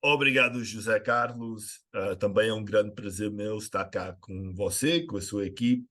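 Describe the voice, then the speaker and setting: A man's voice: lowish voice, moderate pace, fairly bright tone. A middle-aged American man speaking Portuguese with a slight Brazilian tinge, answering as a guest expert over a remote call, hesitant with many filler sounds and restarts. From United States. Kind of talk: conversational interview answers. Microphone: remote call link.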